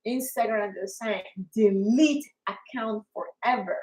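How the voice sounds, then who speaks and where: Excitable Colombian woman hosting a live stream. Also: fairly high pitch, unhurried, rough recording. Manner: energetic, excitable, with squeals and laughs. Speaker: co-host of an online worship show. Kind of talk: casual live-stream chat. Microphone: live stream on phones and devices.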